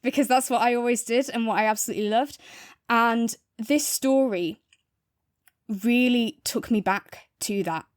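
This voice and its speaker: A woman's voice: on the high side, measured pace, dry recording. Enthusiastic woman chatting, close dry sound. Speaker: podcast co-host reviewing audio dramas. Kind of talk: casual review chat.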